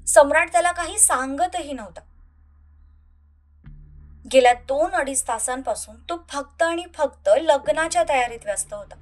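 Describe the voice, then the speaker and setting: A woman's voice: sits high, normal speed. A woman narrating an audio love story in a dramatic scripted style.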